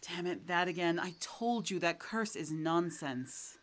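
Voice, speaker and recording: A hard-bitten female poacher, played by a woman in an audio roleplay. Her voice sits on the low side, curt and sardonic, with an irritable, threatening edge. Faint noise lies under the recording. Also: expressive, measured pace. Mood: frustrated, skeptical.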